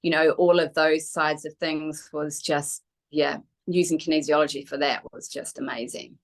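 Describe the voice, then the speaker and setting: A middle-aged woman with a New Zealand accent and a distinctly low voice. She chats as an interview guest, in a reflective, open and conversational manner. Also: steady tone, normal speed. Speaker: guest, kinesiologist.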